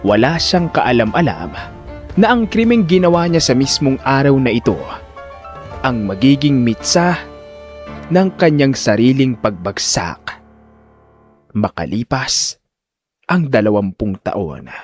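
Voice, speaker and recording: A man's voice: mid-range voice, sing-song, a little slow, long pauses, soft background music. A man narrating a scripted audio drama in a dramatic storytelling style.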